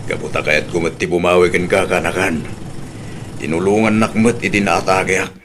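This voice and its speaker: A man's voice: low voice, fairly even intonation, normal speed, background noise, natural voice. A man with an Ilocano accent playing an easygoing older village uncle in a radio comedy drama.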